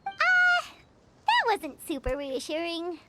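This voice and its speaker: A woman voicing a small, excitable, childlike fairy companion in a video game, with a squeaky voice. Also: slow, background noise, full character voice. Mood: doubtful. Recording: loud game music behind.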